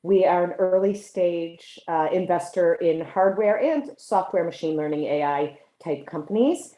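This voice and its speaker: A woman's voice: lowish voice, measured pace, dark tone, roomy sound. A middle-aged woman giving semi-formal introductory remarks as a contest judge and investor. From United States.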